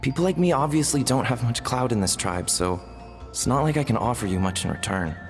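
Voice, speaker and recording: An earnest young tribesman in a video game, played by a man, humble and unsure, with a mid-pitched voice. His questioning delivery rings in long reverb. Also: animated, brisk, close to an everyday voice. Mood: wary, unsure. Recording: long reverb, faint music behind.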